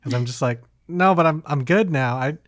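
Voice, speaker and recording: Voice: mid-range voice, a little fast, no room sound. Casual podcast host, dry close sound. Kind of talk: casual conversation about video games.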